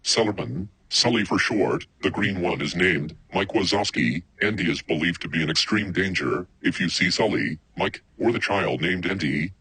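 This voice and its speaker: A man's voice: sits low, fairly bright tone, a little hiss or hum, poor audio. A man reading scripted emergency alerts as an announcer.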